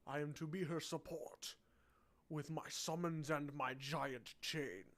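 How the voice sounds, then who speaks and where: A man voicing a middle-aged non-human butler who scorns love, speaking in a solemn, deadpan way. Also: a little high, a little slow. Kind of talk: tabletop roleplay character voice.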